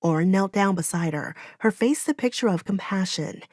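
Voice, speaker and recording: A woman's voice: mid-range voice, animated, normal speed, a little mellow, dry recording, studio quality. A woman reading a fantasy audiobook as narrator, in dramatic, solemn storytelling.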